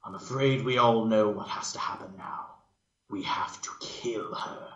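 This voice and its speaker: A man's voice: lowish voice, a little slow, whispered, highs cut off, natural voice. Man voicing a bossy, exasperated female cartoon pony, roomy sound. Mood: bossy, irritated.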